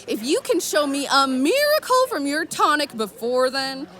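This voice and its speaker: A woman's voice: high-pitched, very animated, moderate pace, bright, forceful tone, exaggerated voice. A woman playing a bold, blunt Old West gunslinger, forthright and challenging, in an audio drama. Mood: firm, challenging.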